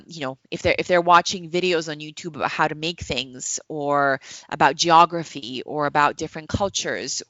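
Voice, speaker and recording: A woman's voice: low voice, pushed and bright, dry recording. A woman from Serbia, a psychologist, answering earnestly in a podcast interview, with frequent restarts and fillers. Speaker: psychologist and parenting coach, guest. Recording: dull lo-fi, dry sound.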